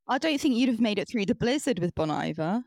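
A woman's voice: medium pitch, expressive, fast, darkish tone, dry recording, clean studio sound. A young woman from England, a band's guitarist, speaking in a casual interview and hesitating, with lots of "like". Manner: hesitant, many fillers like "like". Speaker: band guitarist, guest.

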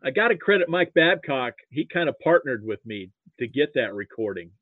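Male American podcast guest, mid-pitched, anecdotal and halting, heard over a thin phone-quality line. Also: very animated, dry recording. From Nebraska, US. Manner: halting, repeats words as he starts sentences. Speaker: podcast guest, sports archivist. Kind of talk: casual anecdotal interview talk. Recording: muffled, phone-like narrow-band line.

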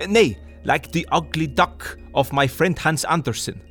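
Old professor, slow and all over the place pitch-wise, faint music under. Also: male voice, slightly rough, heavily acted. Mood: lecturing. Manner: broken English, emphatic lecturing, lively swings. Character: elderly male Dutch professor, earnest, lecturing. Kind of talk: audio drama acting.

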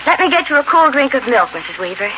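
Devoted young settler wife, old radio play: intense, tender, dramatic, heard through dull hissy broadcast sound. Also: female voice, sits high, sing-song, fast. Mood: light, affectionate. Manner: heartfelt, dramatic delivery. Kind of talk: 1940s radio acting. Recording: noisy, muffled 1940s radio recording.

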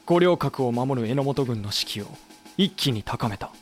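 A man's voice: mid-range voice, animated, normal speed, faint music behind, lightly acted. A male actor playing a frank samurai vice-president who also narrates a historical war audio drama. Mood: grave.

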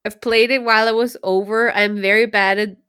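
A woman's voice: mid-range voice, steady tone, fairly quick, sharp tone, smooth. A woman in her thirties with a slightly nasal voice, co-hosting a podcast in a playful, chatty, casual way. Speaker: podcast co-host.

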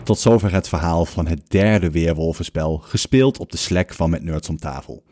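A man reads scripted narration as a storyteller. His voice is exceptionally deep and dark, relaxed in tone, with a measured and slightly wry delivery. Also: soft background music.

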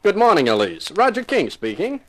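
Hard-boiled male private eye, wry and tough-talking, lively inflection, 1950s radio thriller. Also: high-pitched, normal speed. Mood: cocky. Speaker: lead actor and narrator. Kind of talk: 1950s radio detective drama, first-person narration and dialogue.

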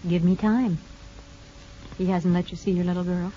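An actress playing a composed, practical woman counselor who narrates a 1950s radio drama in a measured, dry manner. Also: on the low side, fairly even intonation, dark tone, slight background noise, lightly acted. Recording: dull old radio recording with music.

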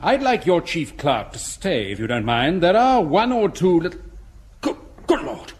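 An actor playing a stuffy, irritable bank inspector in a radio sitcom, officious and indignant. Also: male voice, a little high, sing-song, moderate pace. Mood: irritated. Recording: slightly noisy old radio recording.

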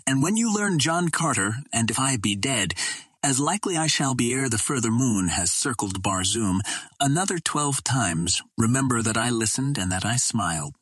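Storybook Martian princess, read by a man: proud, tender, formal, gentle, with a husky edge. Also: mid-range voice, expressive, moderate pace, no echo, clean studio sound. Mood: playful, earnest. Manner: formal, gentle, thoughtful phrasing.

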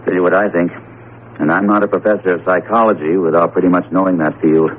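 A muffled 1970s radio drama recording with some hiss. A man plays a skeptical, jovial professor with a low voice, explaining things in a breezy, colloquial and self-assured way. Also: steady tone, fast talker, close to an everyday voice. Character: breezy, skeptical male psychology professor, hearty eater. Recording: muffled noisy old radio broadcast.

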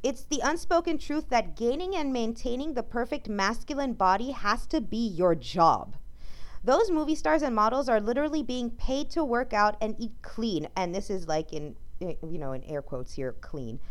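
Woman podcast host, lively pitch, smooth clear tone, rambling emphatic rant, slightly hoarse. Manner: rambling, emphatic, with frequent fillers and restarts. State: losing her voice. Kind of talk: casual solo talk, reading and reacting to an article.

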